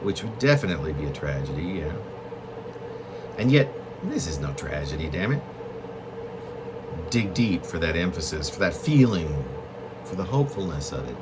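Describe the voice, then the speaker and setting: A man with a low voice reads his own poetry with lively, swinging intonation. His phrasing is deliberate and halting, pausing in the middle of thoughts. The recording has a clear background hum, like air conditioning. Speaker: poet reading own work. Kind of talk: literary poetry reading, reflective monologue.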